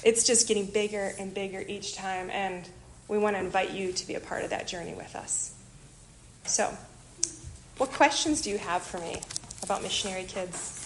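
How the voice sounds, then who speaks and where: A woman from Illinois with a Chicago accent, giving a chatty, animated church talk to a group about a missionary kids program. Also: medium pitch, moderate pace, slight background noise, poor audio. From Illinois, USA. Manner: chatty, animated, self-deprecating asides. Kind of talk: informal church presentation to a group.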